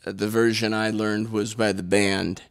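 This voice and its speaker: A young man with a raspy, hoarse voice, a guitarist chatting casually and laid-back with many fillers and laughs, on a studio mic in a small room. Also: fairly low pitch, level tone, normal speed. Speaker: guitarist guest. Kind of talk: casual interview chat. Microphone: studio mic on stand.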